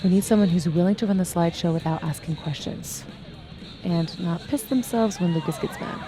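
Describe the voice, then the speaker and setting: A woman's voice: lowish voice, wildly swooping pitch, a little fast, music in the background, a crowd in the background. A woman playing a bold, sardonic, vengeful young woman in a video game, speaking with emphasis. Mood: scheming. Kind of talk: naturalistic video game acting.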